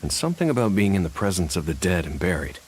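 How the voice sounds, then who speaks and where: An actor playing a kindly, contemplative man who frequents a cemetery in a fantasy video game, speaking in a measured, sympathetic way. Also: low voice, very animated, a little hiss or hum, low-quality recording. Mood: reflective. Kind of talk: English video game voice acting.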